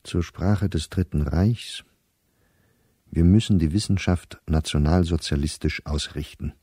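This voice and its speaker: A middle-aged scholar setting down his diary in a radio drama. His voice is very low, dark and mellow, recorded close and dry. He speaks in measured, articulate phrases with an embittered, sardonic edge and a watchful eye for detail. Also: breathy edge, dry recording. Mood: weary, bitter.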